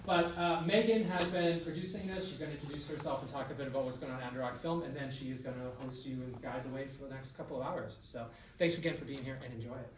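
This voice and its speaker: A man's voice: medium pitch, fairly even intonation, fast. A man giving a casual, joking welcome speech as host of an event. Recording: very noisy echoey room, distant mic.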